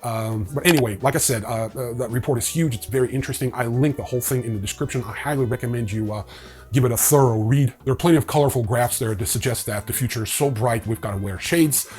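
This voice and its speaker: A man's voice: medium pitch, quick pace, darkish tone. A man hosting a news rundown in a casual, opinionated way with wry asides. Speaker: host of a crypto news show.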